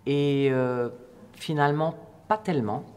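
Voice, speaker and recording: A man, a trainer, answering an interview in a deliberate, hesitant way, often correcting himself. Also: on the high side, darkish tone, clean tone. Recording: reverberant hall, faint noise.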